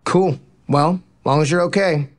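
Male love interest, rom-com audio drama: cocky, none-too-bright athlete, played by an actor, nonchalant and earnest. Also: a little high, unhurried, lightly acted. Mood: casual. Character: easygoing, dim, cocky male athlete, womanizer. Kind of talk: romantic comedy audio drama acting.

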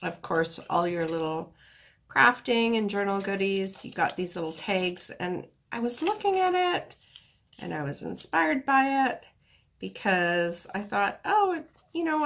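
A woman talks through her crafting in a slow, rambling, easygoing way, her nose stuffed up from a cold. Her voice sits low with a gravelly fry. The recording is noisy, full of paper rustling at the table. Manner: chatty, rambling, relaxed while working with her hands. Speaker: craft video host. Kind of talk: casual craft tutorial talk. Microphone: camera above a tabletop, hands only.

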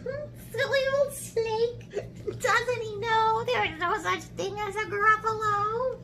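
Woman putting on a squeaky tiny clever mouse. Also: fairly even intonation, slow pace, pushed and bright, a little hiss or hum, full character voice. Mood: smug. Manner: squeaky, sing-song, exaggerated. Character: tiny clever male mouse, bold bluffing trickster. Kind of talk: children's storybook read-aloud.

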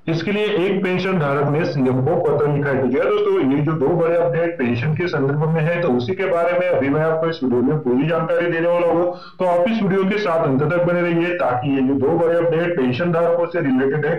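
A man hosting a pension news channel, reading out updates in a voice-over with stock phrases. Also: on the high side. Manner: repeats stock phrases, steady explanatory reading. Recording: echoey, dull lo-fi voice-over with crowd noise.

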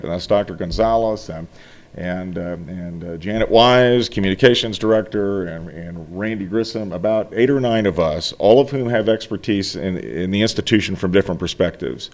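A middle-aged man, seated at a meeting table and speaking into a desk microphone, delivers a formal report to a board. His voice sits on the low side. He talks earnestly and with emphasis, and he restarts his phrases now and then. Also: a little mellow. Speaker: college finance officer.

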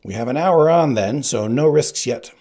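A man's voice: mid-range voice, moderate pace, darkish tone, breathy edge, pitched above the performer's own voice. A man voicing a conceited male kidnapper who makes boastful, menacing threats in a storybook. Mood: gloating. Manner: shrieking, gloating threats.

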